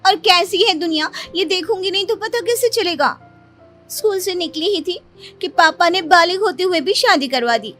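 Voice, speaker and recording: A young newlywed wife, acted by a woman in a cartoon morality tale, with a high voice. She is affectionate and pouty, coaxing and pleading in a plaintive way over background music. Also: expressive, moderate pace, fairly bright tone. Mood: pouty, tearful. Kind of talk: dramatised cartoon moral story.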